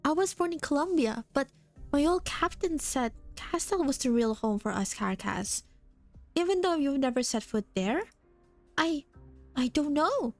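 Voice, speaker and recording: A woman's voice: sits high, animated, a little slow. A woman voicing a shy, kind young mercenary girl who hesitates and stammers, with faint music behind. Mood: unsure.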